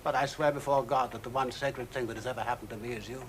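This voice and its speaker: A man's voice: medium pitch, steady tone, fast, a little hiss or hum, natural voice. An actor playing a worthless, desperate man pleading his love in a radio drama. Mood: passionate. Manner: pleading, swelling to passionate outbursts. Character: desperate, self-pitying middle-aged seducer.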